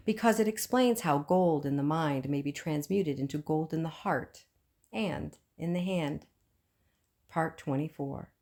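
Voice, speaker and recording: A woman reading a book aloud as scripted narration, with measured phrasing and frequent pauses. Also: deep voice, darkish tone. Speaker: audiobook reader.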